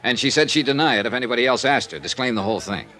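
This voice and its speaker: A hard-nosed American investigator from a 1950s radio drama. His delivery is clipped and wry, with lively swings in pitch as he reports like a man filing his expenses. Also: very fast. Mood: businesslike. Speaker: lead actor and narrator of a radio detective series. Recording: vintage 1950s radio recording.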